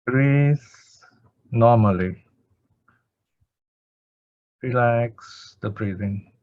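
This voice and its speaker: Guy leading meditation, really slow n measured, lots of pauses, close dry sound, kinda lo-fi. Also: mid-range voice, dry recording. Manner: measured short phrases with many pauses. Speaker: meditation teacher. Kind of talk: slow guided meditation instruction and Pali chanting. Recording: dull lo-fi audio.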